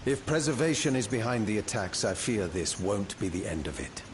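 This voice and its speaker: A man playing a grave, watchful ally in a fantasy video game, serious and measured. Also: lowish voice, very animated, slight background noise. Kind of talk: dramatic video game acting.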